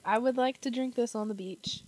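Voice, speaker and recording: Quiet high-voiced woman in casual chat. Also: brisk. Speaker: podcast participant tasting a drink. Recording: faint background noise with clicks.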